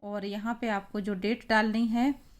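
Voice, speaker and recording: A woman with an Indian accent teaching in a deliberate, explanatory way, as in an instructional lesson. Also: mid-range voice, little pitch movement. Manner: deliberate, explanatory, reading phrases out then translating them.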